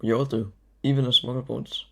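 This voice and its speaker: A man's voice: mid-range voice, little pitch movement, fairly quick, gravelly, noisy background, close to an everyday voice. A man playing a friendly, chipper, posh schoolboy in an audio drama, polite and chummy. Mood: eager.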